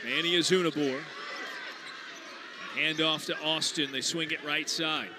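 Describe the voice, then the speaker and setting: A man's voice: on the high side, steady tone, measured pace. A man doing play-by-play as the announcer of a live basketball broadcast. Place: courtside in a basketball arena. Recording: noisy live arena broadcast.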